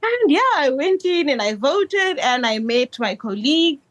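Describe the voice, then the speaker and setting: A South African woman, an election observer, talking loudly and excitedly with frequent laughter in a remote interview over a video call. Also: high voice, a little slow. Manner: loud, bubbly and animated, laughs often.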